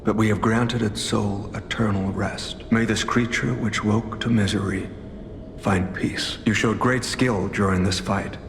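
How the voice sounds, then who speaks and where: Deep, gravelly, solemn game ally. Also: male voice, steady tone, a little hiss or hum. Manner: solemn, deliberate, formal phrasing. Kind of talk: scripted video game cutscene dialogue. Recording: long hall reverb under music.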